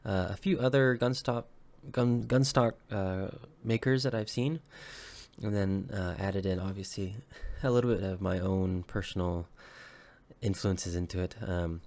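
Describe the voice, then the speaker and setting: Low-voiced man, slightly sick, relaxed. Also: a little slow. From Hawaii. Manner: relaxed, many ums and uhs, corrects himself. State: slightly sick, recovering from illness. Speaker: woodworker and weapon maker. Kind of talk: casual show-and-tell vlog.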